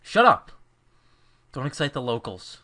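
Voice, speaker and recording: A man's voice: medium pitch, big pitch swings, measured pace, sharp tone. A man playing a stern, short-tempered mentor lecturing a trainee in a visual novel fan dub. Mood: angry, exasperated.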